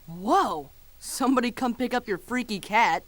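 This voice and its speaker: Bright, high-pitched boy adventurer. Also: normal speed, no room sound, heavily acted. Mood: defiant. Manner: brash, excitable, exclaiming. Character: plucky, excitable human boy adventurer.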